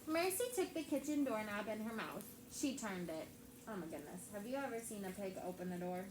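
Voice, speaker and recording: A woman teacher reading a picture book aloud to her class with lively character voices. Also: medium pitch, a little fast. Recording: echoey classroom with background noise.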